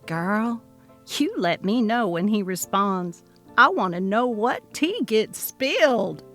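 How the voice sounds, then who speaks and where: A woman's voice: medium pitch, lively intonation, slow pace, a bit bright, soft background music. A woman voicing a sassy, emphatic female coworker who loves gossip, in a dramatic story reading. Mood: eager.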